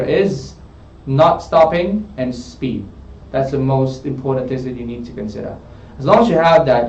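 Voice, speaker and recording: A man's voice: mid-range voice, darkish tone, a little hiss or hum. Man tutoring from a distance, roomy. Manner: explanatory, often checks in with "okay?". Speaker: English tutor. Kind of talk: coaching a student in a studio chat. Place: studio room. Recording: roomy, distant, dull studio sound.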